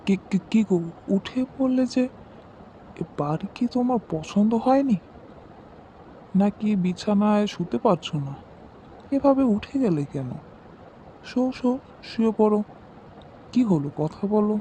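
A man's voice: sits high, expressive, unhurried, pauses often, dark tone, dull audio, a little hiss or hum. A man voicing an earnest, anxious husband in a horror cartoon. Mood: worried. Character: anxious, earnest husband moving into a new house.

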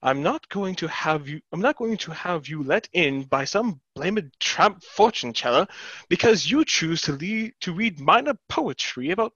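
A young actor playing a blunt, protective, sceptical brother in a play reading over a headset. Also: male voice, on the high side, expressive, unhurried, sharp tone, dry recording, exaggerated voice. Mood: indignant. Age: young adult. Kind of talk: stage play reading over video call. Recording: dull video-call headset audio.

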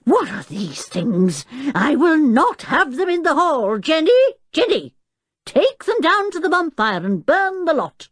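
A woman's voice: high voice, sing-song, measured pace, no echo, clean studio sound, pitched above the performer's own voice. A woman doing a bellowing angry old professor. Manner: bellowing, indignant.

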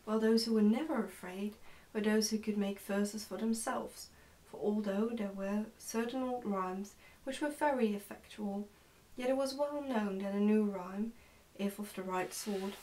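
A young woman reads a storybook aloud for ASMR. Her voice is relaxed and mellow, with a soft, whispery, careful delivery. She is recorded indoors, a little way off from the microphone. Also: medium pitch, distant mic, a little hiss or hum, poor audio. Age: young adult. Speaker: ASMR narrator reading a book aloud. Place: indoors, small plain room.

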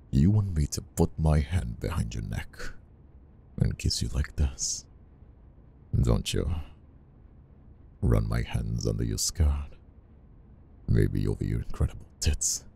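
A man playing a flirtatious, dominant boss in an intimate ASMR roleplay, murmuring slowly and breathily with a deep, gravelly voice. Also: dark tone, a little hiss or hum, heavily acted. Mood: conflicted, yearning. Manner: slow, breathy murmuring with sighs. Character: flirtatious, conflicted male boss, dominant and teasing. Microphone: close ASMR microphone.

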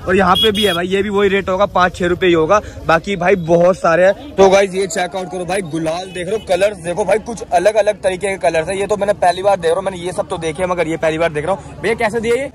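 Young male vlogger, high voice, chatty market walkthrough on a phone mic, street outdoors. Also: fairly even intonation, fast, few pauses. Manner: chatty, repeats prices to camera. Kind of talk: handheld market walkthrough vlog. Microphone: phone held at arm's length.

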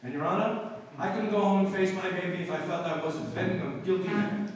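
A man's voice: high voice, natural voice. Man playing a brash shyster lawyer. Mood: wisecracking. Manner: quick wisecracking patter. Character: fast-talking shyster lawyer, brash and cynical. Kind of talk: live recreation of 1930s radio comedy. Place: community hall stage with live audience. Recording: echoey hall from far off.

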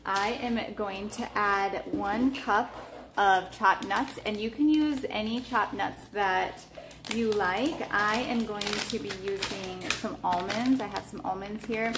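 A woman casually talking through her meal prep in a home kitchen, relaxed and chatty with lots of ums. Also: medium pitch, slow, noisy background. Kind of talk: casual cooking vlog narration. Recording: echoey kitchen with clattering dishes. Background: clinking cutlery, dishes and pans.

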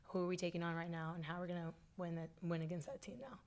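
A woman's voice: on the low side, monotone, very fast, a little mellow. A young woman, a college volleyball player, answering reporters at a desk mic in a postgame press conference, casual and upbeat, often saying "like". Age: young adult. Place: press room with sponsor backdrop. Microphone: desk microphone.

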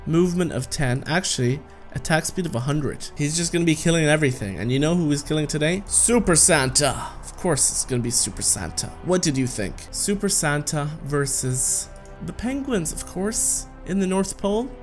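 A man's voice: fairly high pitch, animated, normal speed, faint music behind. A man in his thirties giving casual, playful gameplay commentary as a gaming video host, talking to the game and chuckling often.

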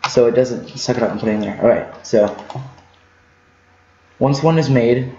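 A man giving a casual, unscripted video game walkthrough, halting and rambling as he thinks aloud. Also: medium pitch, mellow, a little hiss or hum. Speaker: gaming tutorial host. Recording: muffled lo-fi mic in echoey room.

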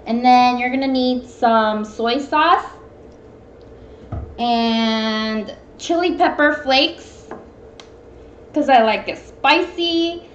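A woman's voice: high-pitched, drawn-out words, long pauses, slight background noise. A young woman chatting casually and informally as the host of a live home cooking stream, meandering as she talks. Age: young adult. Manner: informal, meandering, greeting viewers. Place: small home room, indoors at a table. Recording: echoey, dull webcam stream, background music.

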